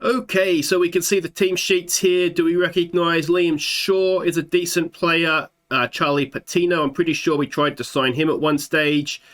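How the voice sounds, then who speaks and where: A man's voice: a little high, steady tone, normal speed, few pauses, a bit bright. An Australian man in his thirties with a slight sore throat, chatting through a football management game at his desk mic, thinking aloud with fillers. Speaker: gaming YouTuber. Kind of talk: let's play commentary.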